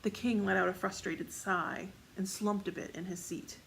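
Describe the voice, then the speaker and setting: A woman's voice: medium pitch, fairly even intonation, normal speed, vocal fry, slight background noise, poor audio. A woman reading scripted fiction as the narrator of a story.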